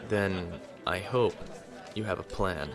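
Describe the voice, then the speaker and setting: A man acting a composed young noble naval lieutenant in a science fiction audio drama, formal and measured, coolly defiant. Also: sits low, vocal fry, music in the background, exaggerated voice. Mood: composed, dry.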